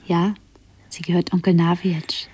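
Radio drama: gentle, curious woman companion, extremely breathy and mellow, tentative. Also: fairly low pitch, little pitch movement. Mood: curious, sympathetic. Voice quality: soft, whispery, very breathy. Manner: slow, hesitant, tentative questioning. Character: hypochondriac's female companion, curious, gently questioning. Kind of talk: 1970s German radio play acting.

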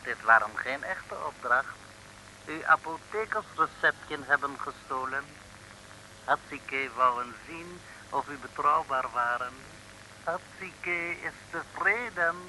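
An actor playing a calm henchman who speaks deliberately in broken, foreign-style Dutch in a vintage radio comedy. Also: a little high, exaggerated voice, rough recording. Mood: calm, satisfied. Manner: clipped broken grammar, deliberate. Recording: old radio recording with faint noise.

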